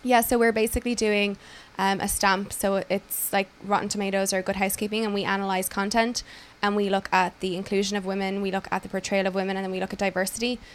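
A woman's voice: mid-range voice, flat delivery, fast talker, hardly any pauses. An Irish woman, a podcast guest who founded a tech company, chatting upbeat and explaining her work with frequent ums. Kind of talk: casual interview chat.

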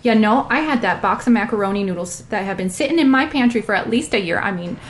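A woman playing a chatty, folksy artist, rambling with drawn-out exclamations in an interview. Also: mid-range voice, animated, roomy sound, lightly acted. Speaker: interview guest.

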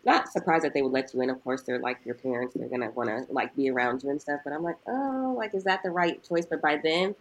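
A woman with a very low voice, chatting casually as a podcast co-host. Her voice is nasal and stuffed up from congestion. She is from Cincinnati. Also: lively intonation, fast talker, few pauses, rough recording. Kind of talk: casual chat.

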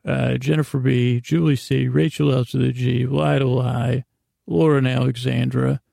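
A man hosting a bedtime podcast in a rambling, improvised monologue, halting and meandering with stammered restarts, self-deprecating and gently reassuring, in a gravelly voice. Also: medium pitch, little pitch movement, no echo, studio quality. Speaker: podcast host.

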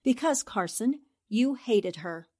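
A woman's voice: a little high, animated, slow pace, warm tone, husky, heavily acted. A woman playing a shrewd, confident newspaper reporter and amateur sleuth, theatrical and assertive in 1940s radio drama style. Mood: accusing.

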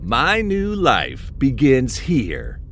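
An actor playing an excitable, boastful party man in his late fifties in an audio drama. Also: medium pitch, drawn-out words, a little hiss or hum, full character voice. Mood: earnest, defensive.

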